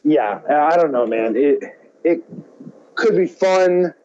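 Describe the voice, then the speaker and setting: Animated, halting man with a mellow voice. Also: a little high, lo-fi sound. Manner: hesitant, halting, thinking aloud. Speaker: podcast co-host. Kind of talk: casual chat about movies and games.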